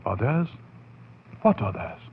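Brooding, obsessed professor, wildly swinging delivery. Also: male voice, mid-range voice, big pitch swings, slow, creaky, husky, exaggerated voice. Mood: startled, doubtful. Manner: theatrical, brooding, swelling to fervent outbursts. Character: obsessed male professor scientist bent on raising the dead. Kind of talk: 1940s radio horror acting, dramatic first-person narration. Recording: noisy, muffled phone-like 1940s radio recording.

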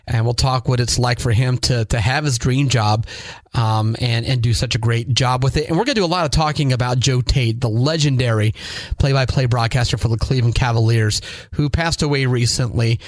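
A man's voice: medium pitch, brisk, no echo. American man in his forties, close dry sound. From Northeast Ohio, United States. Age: 40s. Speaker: podcast host, high school sports broadcaster. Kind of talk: conversational podcast introduction and interview.

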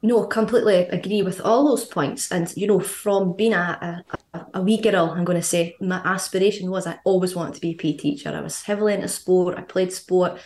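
A woman in her thirties with a Scottish accent, chatting as a guest on a podcast, quick and full of fillers. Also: fairly low pitch, steady tone, a little mellow, echoey room. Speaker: guest, fitness coach. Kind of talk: casual podcast interview.

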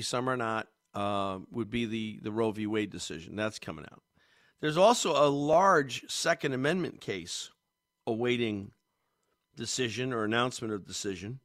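A man's voice: medium pitch, a bit bright. A man hosting a talk radio show, congested, rambling casually with many fillers and restarts.

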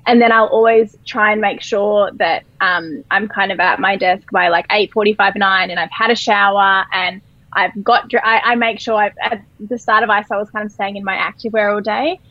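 A woman with an Australian accent and a croaky voice, a company co-founder chatting as a podcast guest. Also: mid-range voice, steady tone, normal speed, dry recording, lo-fi sound. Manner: chatty, upbeat, repeats words. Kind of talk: casual podcast interview.

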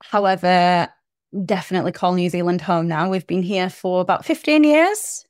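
A woman's voice: fairly low pitch, normal speed, clean tone, no room sound, clean studio sound. A British woman in her thirties, chatting enthusiastically as a podcast guest about her small business. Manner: chatty and enthusiastic, laughs easily. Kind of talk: casual interview chat.

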